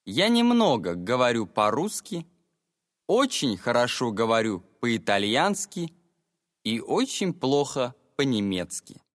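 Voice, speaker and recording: A man reading short scripted self-introductions for a language listening exam, with clear, expressive delivery. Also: medium pitch, measured pace, dry recording, studio quality, big-room sound. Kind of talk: slow, carefully read exam monologue.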